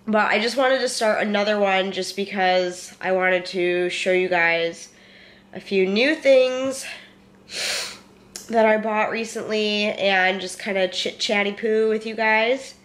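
A woman's voice: medium pitch, takes it slowly, a bit bright. A young woman with a head cold talks straight into a handheld camera held close to her face, her voice stuffy and nasal from congestion. She rambles in an easygoing, chatty way and swears casually, settled on a couch in her living room. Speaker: vlogger.

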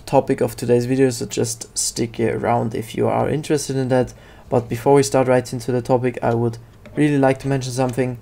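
A man narrating a casual software tutorial in a hesitant, halting way. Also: medium pitch, vocal fry. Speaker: tutorial host.

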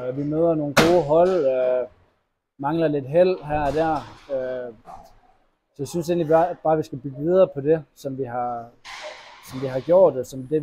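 A young man, a hockey player, answering post-match interview questions in a halting, disappointed way with many fillers and restarts, recorded indoors at an arena press wall. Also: fairly high pitch, warm tone, a little hiss or hum. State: disappointed after a loss.

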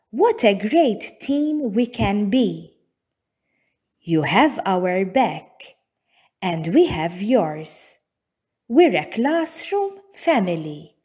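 A woman reading a children's picture book aloud as narrator, in deliberate, measured phrases with frequent pauses. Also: mid-range voice, mellow, husky. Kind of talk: scripted storybook narration for young children.

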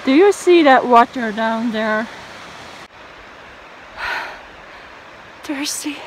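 Young woman hiker, chatty self-shot vlog, slow unhurried delivery, outdoor wind noise on a camera mic. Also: a little high, expressive, low-quality recording. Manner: chatty, cheerful, laughs between remarks. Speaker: hiking vlogger. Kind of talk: casual vlog narration while hiking. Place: outdoors on a mountain trail. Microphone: handheld camera at arm's length.

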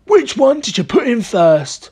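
A storyteller voicing an excitable, blustering older man in a storybook, with urgent, theatrical exclamations. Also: mid-range voice, a little slow, breathy edge, pitched above the performer's own voice. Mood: eager, delighted.

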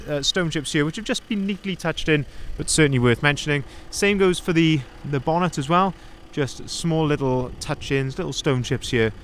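A man's voice: medium pitch, fairly bright tone, slight background noise. A young man, a van sales manager, doing an upbeat walkaround review, recorded on a handheld phone outdoors at a dealership lot. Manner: upbeat salesman pointing out features and flaws. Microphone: handheld phone camera, filming first-person.

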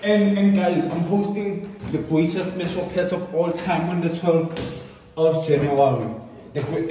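A man's voice: fairly high pitch, dark tone, far from the mic, a little hiss or hum. Laid-back young man in echoey empty rooms. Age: young adult. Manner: laid-back slangy talk, repeats "you feel me", "type shit". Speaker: YouTuber giving a house tour. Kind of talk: casual vlog tour. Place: large bare-walled house, indoors. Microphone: phone camera held by someone else, from a distance.

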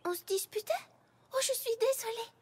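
Timid cartoon pegasus girl, gentle, meek, hushed delivery, very high register. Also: normal speed, slight background noise. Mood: apologetic. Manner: soft, meek, hesitant. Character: timid, gentle, kind young female pegasus pony. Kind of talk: French cartoon dub acting.

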